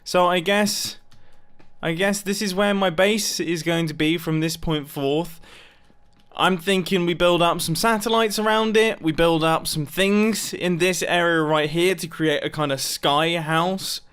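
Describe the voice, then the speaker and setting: Excitable guy narrating gameplay. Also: fairly high pitch, measured pace, clear, resonant tone. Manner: excitable, self-deprecating, laughs often. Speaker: gaming YouTuber. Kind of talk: casual gameplay commentary.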